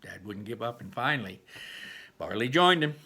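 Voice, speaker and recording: A man reading a storybook aloud in an animated manner, stepping out for chatty explanations to his listeners. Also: medium pitch, moderate pace, slightly rough.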